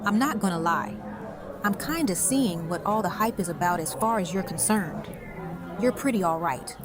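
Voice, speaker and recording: A woman's voice: medium pitch, lively intonation, moderate pace, natural voice. A woman playing a cool, guarded but amused young woman with a dry, casual manner in an audio drama. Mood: wry, amused. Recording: music and party chatter behind.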